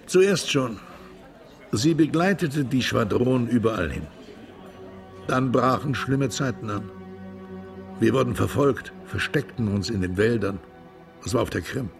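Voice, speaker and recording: A man's voice: mid-range voice, animated, a little slow, vocal fry, pauses often, soft background music. A man acting a wistful Russian émigré veteran who recalls old adventures plainly in a radio drama. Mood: reminiscing. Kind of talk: radio drama dialogue, storytelling.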